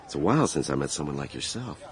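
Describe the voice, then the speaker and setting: An actor playing a flamboyant, ambitious travelling salesman in a period radio drama, self-assured and flattering. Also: extremely low pitch, fast. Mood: charming, courting. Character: Beck Tull, flamboyant, ambitious travelling salesman, smooth-talking suitor.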